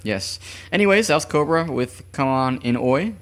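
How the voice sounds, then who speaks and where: A man's voice: medium pitch, animated, moderate pace. Crude, joking man hosting a podcast. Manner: crude joking banter, loose rambling delivery. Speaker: podcast host. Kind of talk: casual radio podcast chat.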